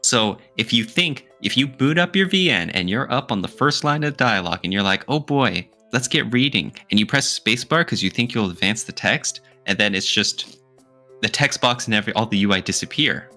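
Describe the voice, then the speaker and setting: A man co-hosting a podcast, talking casually and jokingly in an animated, teasing, argumentative way. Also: fairly low pitch, moderate pace, soft background music. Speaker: podcast co-host. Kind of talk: casual, joking opinion talk.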